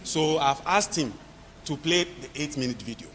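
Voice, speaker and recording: A man's voice: a little high, expressive, moderate pace, a bit bright. Man speaking casually in a reverberant hall. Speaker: symposium speaker. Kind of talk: casual remarks to an audience. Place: conference hall. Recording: hall reverb with light noise.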